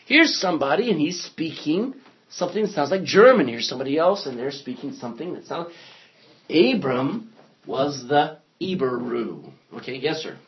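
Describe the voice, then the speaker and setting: A man lectures a Bible class, his voice sitting a little high and rising and falling constantly. He thinks aloud and throws in jokes as he goes. The classroom recording is lo-fi and muffled. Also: moderate pace. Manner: animated, joking, thinking aloud.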